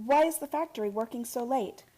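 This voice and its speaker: An actress playing an earnest, disbelieving young heiress in a radio play, halting and insistent in her questions. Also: a little high, mellow, natural voice. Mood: hushed, troubled.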